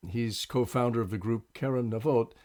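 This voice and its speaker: A man hosting an interview, introducing his guest and asking measured, earnest questions. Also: medium pitch, a little mellow. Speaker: interviewer, co-host.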